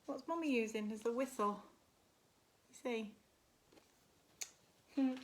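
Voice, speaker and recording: A woman reading a picture book aloud as a primary teacher would, expressively and with little asides to young listeners. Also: fairly high pitch. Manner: animated, slow and deliberate storytelling, gasps and murmurs for effect.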